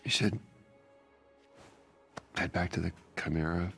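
An actor playing a weary, gentle middle-aged scientist hero in a video game, with a halting, low-key way of talking. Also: male voice, fairly even intonation, vocal fry, music in the background. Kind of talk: video game cutscene acting.

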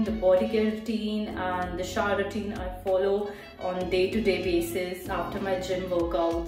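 A young woman in her twenties chatting enthusiastically through her home shower and skincare routine as a beauty vlogger. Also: mid-range voice. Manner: chatty, enthusiastic about products. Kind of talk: casual routine vlog voice-over. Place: bathroom at home. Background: faint music.

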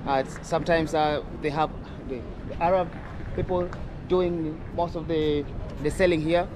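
A man's voice: a little high, steady tone, moderate pace. A man from northern Uganda, vlogging casually as he walks through a street market, chatting with many fillers and restarts. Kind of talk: casual walking street vlog. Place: outdoor city street market. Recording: street noise behind outdoor voice.

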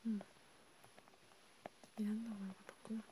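A woman chatting casually in a breathy whisper for ASMR, murmuring haltingly with pauses. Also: mid-range voice, little pitch movement. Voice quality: breathy, mostly unvoiced whisper. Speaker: ASMR creator. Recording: noticeable background noise and ambience.

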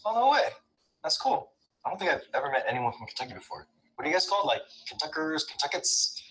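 A young man acting in a comedy sketch, then speaking directly to the viewer in an animated, exaggerated way. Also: a little high, quick pace, rough recording. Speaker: sketch actor.

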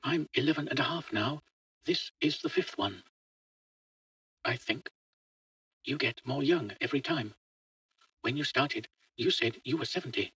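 A man's voice: mid-range voice, big pitch swings, unhurried, pauses often, bright, forceful tone, husky, dry recording, distant mic, pitched above the performer's own voice, exaggerated voice, rough recording. A man voicing a fearless, chatty eleven-year-old boy who talks simply and matter-of-factly in a story. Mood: reassuring. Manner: simple, childlike, matter-of-fact. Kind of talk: audio short story dialogue.

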